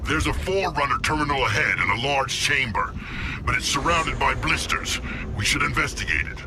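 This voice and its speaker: An actor voicing a fierce, boastful alien warrior commander in a video game, speaking theatrically and eager for battle. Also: male voice, medium pitch, animated, brisk, noisy background, lightly acted. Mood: brisk, tactical. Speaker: squad leader in a war game. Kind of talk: dramatic video game voice acting. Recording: loud game music behind the voice. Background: loud music.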